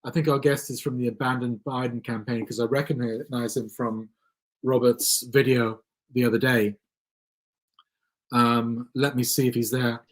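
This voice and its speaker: A man's voice: mid-range voice, flat delivery, measured pace, low-quality recording. A man hosting a live election-night stream, hesitant with many ums, losing his voice a little. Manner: hesitant, frequent ums and restarts. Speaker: livestream host. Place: studio.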